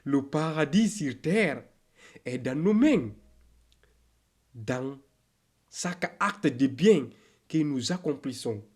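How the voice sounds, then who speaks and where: A man reciting a motivational poem in a declamatory, exhortative way, in short measured phrases with deliberate pauses. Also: fairly high pitch, animated, darkish tone, slightly rough.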